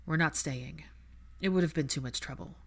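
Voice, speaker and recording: Female reader as young male aristocrat, low pitch, dry, measured, patient, wry, audiobook fiction. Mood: reflective, measured. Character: patient, wry, aristocratic young father, liberal yet rigid.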